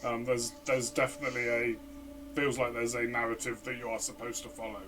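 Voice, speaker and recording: A man's voice: mid-range voice, level tone, brisk, far from the mic, noisy background. A man co-hosting a casual game review chat, hesitant and full of fillers and restarts. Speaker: podcast co-host, player.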